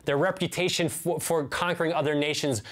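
A man's voice: a little high, steady tone, a little fast, a bit bright. American man preaching, earnest and emphatic. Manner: earnest, emphatic, repeats key phrases and asks rhetorical questions. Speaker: pastor. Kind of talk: sermon, teaching to camera. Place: indoors, small room with bookshelves.

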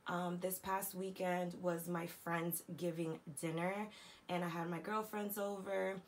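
A young woman beauty vlogger with a hoarse, raspy voice after losing it, chatting casually and slangily about makeup at home. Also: on the low side, level tone, measured pace, a little hiss or hum. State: hoarse, lost voice. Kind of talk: casual chatty product talk. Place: home beauty room, indoors.

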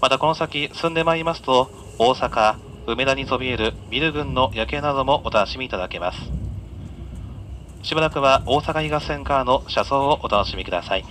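Man, train conductor, bright clear-cut tone, medium pitch, polite formal announcement, on-board PA, faint running-train noise. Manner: polite, measured, formal delivery. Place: inside a moving train, over the announcement system.

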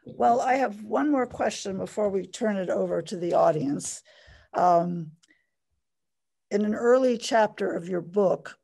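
An older woman hosting a library talk, asking the guest measured, polite questions over a video call. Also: medium pitch, gravelly, mellow. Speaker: interviewer, library host. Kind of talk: interview questions. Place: library with bookshelves, indoors. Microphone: laptop video call.

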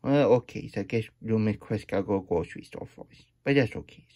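A man voicing an excitable, childlike hedgehog plush who reads a picture book and adds chatty asides in broken, third-person grammar, husky and breathy. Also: lowish voice, a little fast, no room sound. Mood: excited, rambling. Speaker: storytime host. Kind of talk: character read-aloud with chatty asides. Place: small room indoors at a table.

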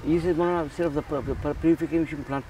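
A man's voice: fairly high pitch, fairly even intonation, racing, dark tone, distant mic, slight background noise, poor audio. A man from Zimbabwe who runs a charity, talking earnestly in a casual interview and often repeating words as he restarts. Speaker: charity founder.

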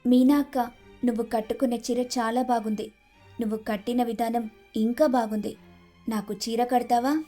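A patient, respectful young wife in a cartoon family tale, played by a woman. Her voice is very high, and she speaks gently and politely. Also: steady tone, moderate pace, faint music behind, close to an everyday voice. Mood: friendly. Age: young adult. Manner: polite, soft-hearted. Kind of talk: animated moral story dialogue.

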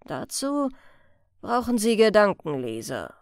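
Unflappable chief inspector female reader, weary and laconic, slow audiobook delivery, very dry sound. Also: medium pitch, no room sound. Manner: tired, calm, dry, laconic. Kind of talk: audiobook character voice.

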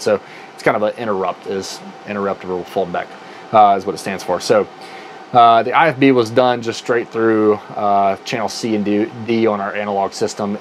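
A young man with a fairly low voice presents an informal technical explainer. He talks to camera in a small indoor control room, with a noticeable air-conditioning hum behind him. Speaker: presenter, broadcast engineer.

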